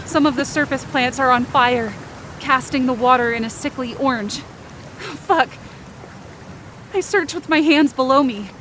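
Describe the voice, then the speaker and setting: A woman's voice: high-pitched, unhurried, long pauses, fairly bright tone, slight background noise. A woman giving intense, theatrical first-person narration for a scripted fiction podcast, in short dramatic sentences. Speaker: writer and performer of fiction podcast.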